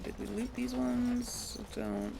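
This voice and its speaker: A woman's voice: a little high, steady tone, slow pace, creaky. A woman hosting a casual let's play, thinking aloud and chuckling often. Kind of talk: casual gameplay commentary.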